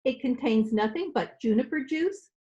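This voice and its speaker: A woman's voice: fairly high pitch, expressive, normal speed, dull audio, background noise, lightly acted. A woman playing a chatty, absent-minded older landlady who rambles on in an old-time radio comedy. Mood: chatty, confiding. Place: recorded remotely at home.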